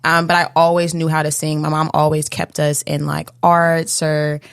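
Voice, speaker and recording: A young American woman with a deep alto voice, speaking in a composed, slightly monotone way as a casual interview guest. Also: moderate pace, gravelly, smooth, no room sound, clean studio sound. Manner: composed, serious, somewhat monotone. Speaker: guest, rapper and singer.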